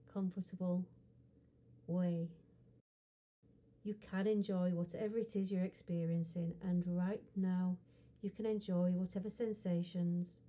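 A woman hypnotherapist guiding a relaxation session in a soothing, unhurried manner, pausing often between short phrases. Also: mid-range voice, dark tone, muffled recording. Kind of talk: guided hypnosis relaxation script.

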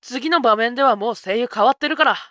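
A woman playing a flippant, self-serving young witch with rough, slangy speech in a comic anime voice drama. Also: on the high side, fast, natural voice. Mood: sly, breezy.

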